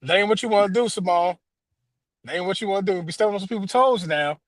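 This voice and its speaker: A man's voice: high-pitched, fast talker, dry recording. A man in his thirties with an American accent, chatting casually as a sports show co-host in slangy, emphatically repeated phrases. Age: 30s. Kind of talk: casual joking chat.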